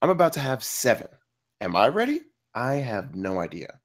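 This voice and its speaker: Man acting exasperated dad buddy, animated delivery. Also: mid-range voice, moderate pace. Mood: earnest. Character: harried expectant father, brash buddy, dad of many. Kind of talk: table read of a film script.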